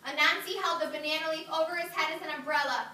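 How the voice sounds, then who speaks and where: A woman's voice: high voice, steady tone, fast talker, pushed and bright, a little hiss or hum. A woman teacher reading a story aloud to a kindergarten class, praising and coaxing the children with lots of questions. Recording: roomy classroom audio from a distance.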